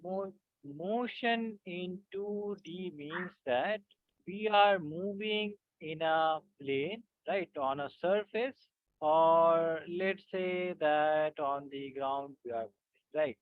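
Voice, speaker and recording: A man teaching physics in an online class, halting with many fillers and often checking that students follow. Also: on the high side, dry recording. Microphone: video call. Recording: tinny dry call audio.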